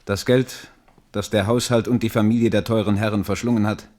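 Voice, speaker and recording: A man acting a sober, dutiful royal minister in a German radio drama, formal, measured and insistent with his king. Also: on the low side, steady tone, darkish tone, natural voice. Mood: admonishing.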